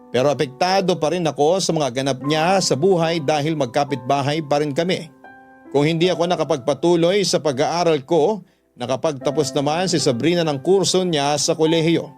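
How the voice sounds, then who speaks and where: A man with a Filipino accent narrating a first-person confessional story for radio, earnest and sorrowful. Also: on the high side. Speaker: radio drama narrator reading a letter sender's story.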